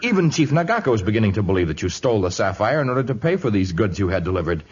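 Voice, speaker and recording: An actor playing a noble, loyal jungle hero in 1950s radio drama, speaking in an earnest, formal way. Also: male voice, lowish voice, very animated, very fast. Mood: earnest, concerned. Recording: muffled, hissy old radio recording.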